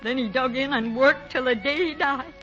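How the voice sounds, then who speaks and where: A woman's voice: sits high, moderate pace, pushed and bright, faint music behind. An American woman acting in a 1940s radio drama as a tender, homely older widowed mother who speaks plainly. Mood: wistful. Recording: dull 1940 radio recording.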